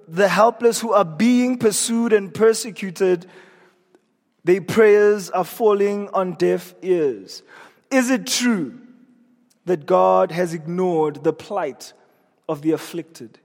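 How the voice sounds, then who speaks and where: A man preaching an earnest evening sermon in a church, his voice scratchy and strained. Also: high voice. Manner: earnest, repeats words when he restarts. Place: church hall. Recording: long reverb of a church hall.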